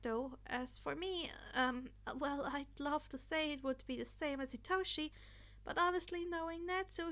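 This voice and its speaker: A woman's voice: high-pitched, a bit bright. A woman voicing an earnest, analytical teenage boy who thinks aloud with hesitant stammers in an audiobook story. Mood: bitter. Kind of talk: acted fan fiction audiobook dialogue.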